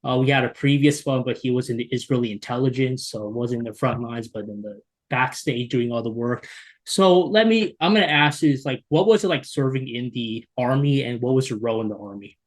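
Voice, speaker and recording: A man's voice: medium pitch, a little fast. A man hosting an interview, asking questions in an eager, curious way. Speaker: interview host. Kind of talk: conversational interview.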